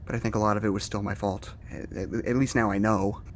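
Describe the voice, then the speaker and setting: A man's voice: on the low side, steady tone, fast talker, slight background noise, close to an everyday voice. A man playing a nervous, hopeful researcher in a naturalistic audio drama, earnest and insistent with a stammer. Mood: subdued, regretful.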